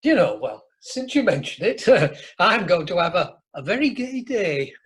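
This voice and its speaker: A man's voice: sits high, very animated, unhurried, a bit bright, husky, heavily acted. A man playing a jovial, bluff older husband with a Northern English accent in an amateur radio comedy. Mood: jovial, teasing. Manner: chuckling, blustering, colloquial. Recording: online meeting recording.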